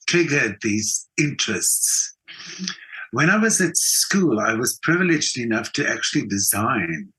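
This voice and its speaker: A South African man with a bright, forward voice at an ordinary male pitch. He talks as an interview guest sharing his own story in a conversational way, stressing points by repeating words. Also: low-quality recording. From South Africa, Johannesburg. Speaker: interview guest, actor and theatre designer. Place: video call from home country, indoors. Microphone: video call.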